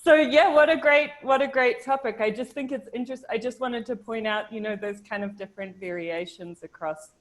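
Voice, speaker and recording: Young woman from New Zealand, video-call chat, thoughtful, halting, lots of restarts. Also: fairly high pitch, rough recording. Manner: thoughtful, hesitant, many restarts and fillers. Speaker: discussion participant. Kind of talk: casual video-call discussion.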